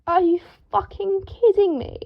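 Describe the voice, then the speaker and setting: A woman's voice: very high-pitched, fairly even intonation, measured pace, vocal fry, dull audio, voice pushed up, full character voice. A woman reading aloud, voicing a fussy, haughty young wizard man who shrieks in indignant fury. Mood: furious.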